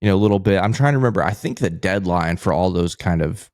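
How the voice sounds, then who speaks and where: A man's voice: low voice, animated, fast, a little mellow, no room sound, clean studio sound. A man co-hosting a sports podcast, talking casually and with enthusiasm.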